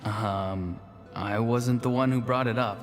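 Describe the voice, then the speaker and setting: An actor voicing an earnest, self-doubting young man in a video game, pensive and soft-spoken. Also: medium pitch, steady tone, a little slow, lightly acted. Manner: hesitant, pensive. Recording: voice over clear background music.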